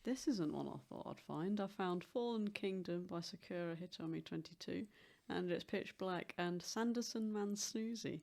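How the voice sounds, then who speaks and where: A woman's voice: fairly low pitch, normal speed, gravelly, a little mellow. Playfully exasperated woman in casual podcast banter. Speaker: podcast co-host. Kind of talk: casual comedic chat.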